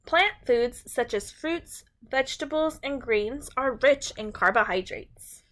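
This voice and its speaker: A woman's voice: sits high, expressive, a little slow, a bit bright, slight background noise. A woman reading a picture book aloud to young children and chatting to them in simple, encouraging language.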